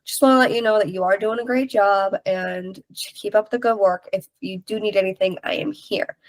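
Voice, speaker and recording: A woman's voice: mid-range voice, fairly even intonation, fairly quick, no echo. A woman co-hosting a podcast, slightly stuffy with a head cold, giving casual, candid, practical advice. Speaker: podcast co-host and interviewer.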